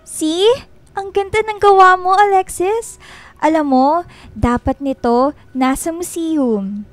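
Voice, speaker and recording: A very high, expressive voice for a sweet, popular young woman student in a radio drama. She is playful and teasing, coaxing as she talks, with faint music behind her. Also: slow pace, no room sound. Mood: cheerful, playful. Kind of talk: radio drama acting with Taglish dialogue. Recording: music bed behind dialogue.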